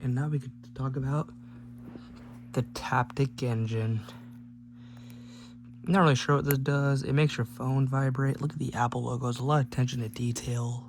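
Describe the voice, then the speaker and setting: Male teardown host, mid-pitched and flat, casual rambling commentary with offhand jokes, clearly noisy humming recording. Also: moderate pace, long pauses. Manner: rambling, jokey offhand remarks. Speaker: host of a gadget teardown video.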